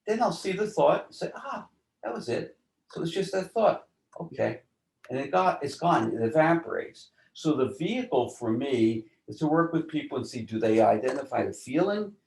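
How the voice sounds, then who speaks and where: A man explaining ideas as an interview guest in a deliberate, teacherly way, often posing rhetorical questions. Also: fairly high pitch, expressive, vocal fry, a little mellow. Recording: roomy, echoing voice.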